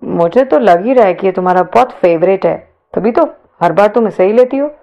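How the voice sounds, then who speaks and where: A woman voicing a calm, reserved young male college student in an audio story, with an understated delivery. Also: medium pitch, normal speed, creaky, dull audio. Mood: gently inquiring. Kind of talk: audio story character dialogue.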